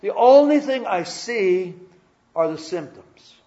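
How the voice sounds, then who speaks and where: A high-pitched male pastor preaches with earnest repetition, stumbling over restarts and tossing questions to his listeners. The recording is dull and lo-fi, like an old church tape. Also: lively intonation, slow pace, dark tone. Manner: repetitive stammering restarts, conversational questions to the congregation. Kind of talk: sermon.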